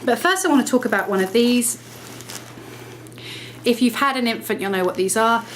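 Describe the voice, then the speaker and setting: Lady chatting to her camera at home, bit stuffy from a cold, faint hiss behind her. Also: a little high. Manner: chatty, self-correcting, brisk explaining. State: slightly nasal from a cold. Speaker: lifestyle vlogger. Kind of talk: casual talk to camera.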